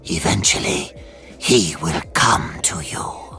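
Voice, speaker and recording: Game mystic: sinister manipulator, low gravelly drawl, ominous, over music. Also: male voice, expressive, takes it slowly, pushed and bright, in a whisper, full character voice. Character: sinister, manipulative mystic mentor speaking of pain. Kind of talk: dramatic video game acting.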